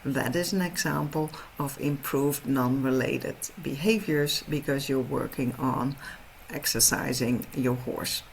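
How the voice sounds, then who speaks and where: A middle-aged Dutch-accented woman speaks in an unhurried, measured way. Her voice has a noticeable gravelly fry. She explains things earnestly, like a trainer presenting her program. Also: rumbling low, slight background noise, rough recording. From Netherlands. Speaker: horse trainer and program host. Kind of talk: online info session presentation.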